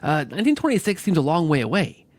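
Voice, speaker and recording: A man's voice: a little high, big pitch swings, quick pace, no room sound. A man hosting a casual interview, rambling tentatively and often checking for agreement with tag questions. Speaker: podcast host and interviewer.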